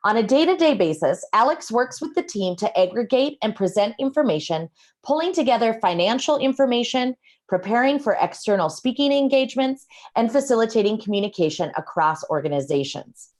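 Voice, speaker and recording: A woman's voice: fairly high pitch, darkish tone. A woman hosting an online event, reading a prepared introduction in an upbeat way and then asking questions. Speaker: event host. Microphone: video call.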